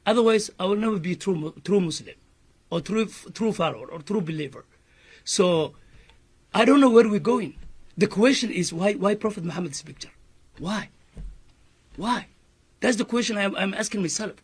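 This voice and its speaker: Somali accented imam at a press conference, high voice, earnest, keeps saying you know. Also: male voice, normal speed, pauses often, slightly rough. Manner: earnest, repetitive, many "you know" fillers.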